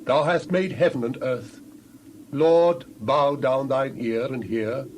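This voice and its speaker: A man's voice: mid-range voice, takes it slowly, a little mellow, slight background noise, lightly acted, rough recording. An actor playing a devout king in a dramatized scripture reading, praying with earnest, beseeching reverence. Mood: reverent pleading. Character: devout, pleading king of Judah in prayer.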